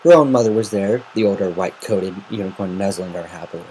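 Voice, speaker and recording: A man reading a story aloud as an amateur, in measured, expressive semi-dramatic narration. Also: low voice. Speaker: amateur reader of fan fiction. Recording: noisy amateur home recording.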